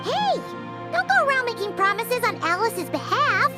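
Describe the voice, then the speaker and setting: A woman voicing a small, childlike, excitable fairy companion in a game, chirpy and blunt. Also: extremely high pitch, normal speed, loud music behind the voice. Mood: indignant. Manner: exclamatory, speaks of herself in third person. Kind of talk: animated game voice acting.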